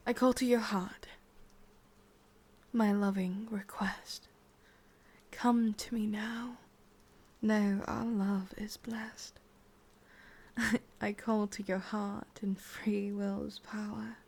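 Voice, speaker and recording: A sadistic yandere witch with an English accent, played by a woman in an intimate ASMR roleplay. Her voice is very breathy and mostly whispered, and she speaks slowly and haltingly. She is teasing and possessive, with a secretly tender streak. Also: medium pitch, a little mellow. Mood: incantatory, slow.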